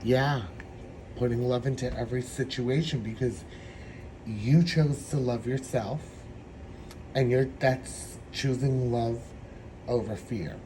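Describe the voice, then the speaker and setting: Slow, hoarse man giving a tarot reading. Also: mid-range voice, darkish tone, a little hiss or hum, rough recording. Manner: meandering, reflective, slow-spoken. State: hoarse, throat trouble. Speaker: tarot reader.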